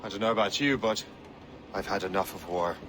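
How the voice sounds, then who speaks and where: A man's voice: deep voice, fairly quick, lightly acted. A man playing a weary, principled sea captain in a video game, dry, terse and understated. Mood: weary, rueful. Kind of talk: video game cutscene acting. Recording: sea and ship noise behind.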